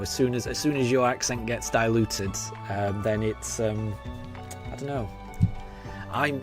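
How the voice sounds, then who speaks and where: A man in his forties from Yorkshire with a faded Yorkshire accent, chatting hesitantly with his live-stream chat over many ums and restarts while he plays. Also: medium pitch. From Yorkshire, England. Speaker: game streamer. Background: game music.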